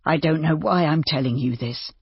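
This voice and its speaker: A narrator plays a male doctor in an audiobook, a proud gentleman with a bitter, desperate manner. The voice has a husky grain. The recording is dry and slightly muffled. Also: medium pitch, normal speed, no echo. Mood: despairing. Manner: cross, self-important, despairing. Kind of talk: audiobook character dialogue.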